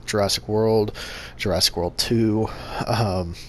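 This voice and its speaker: A young man hosting a gaming channel, talking casually to his subscribers in a monotone with lots of fillers and restarts. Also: on the low side, slow pace, a little hiss or hum. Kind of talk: casual vlog update to subscribers.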